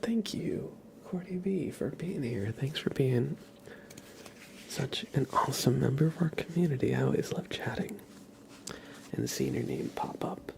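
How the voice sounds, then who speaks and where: Male ASMR live streamer, whispery and breathy with vocal fry, rambling and conversational, light background noise. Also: medium pitch, big pitch swings, a little slow. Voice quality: soft breathy whisper, often unvoiced. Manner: rambling, conversational, addresses chat by name. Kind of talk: ASMR, whispered casual chat with viewers.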